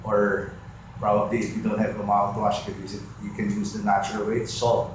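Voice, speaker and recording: A Filipino-accented businessman in his fifties, speaking slowly and searching for words in a group discussion. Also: on the low side, monotone. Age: 50s. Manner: slow, halting, searching for words. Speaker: focus group participant, businessman. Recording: echoey room, lo-fi, background noise.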